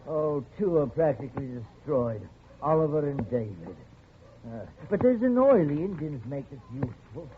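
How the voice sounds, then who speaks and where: An actor playing an eager, gently obsessive old man in a 1940s radio drama, stammering and repeating himself. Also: on the high side, sing-song, normal speed. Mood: fussy, hesitant. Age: older. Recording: muffled, noisy old radio broadcast.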